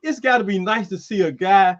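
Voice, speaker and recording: A man hosting a streamed show, chatting loosely and calling people "man" as he pitches merchandise. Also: fairly quick, muffled recording. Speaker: host. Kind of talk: casual chat and merchandise pitch. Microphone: streaming setup.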